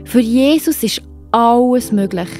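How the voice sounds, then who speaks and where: A woman's voice: fairly high pitch, lively intonation, a little slow, a little mellow. A woman with a Swiss German accent narrating a Bible story for children. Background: faint music.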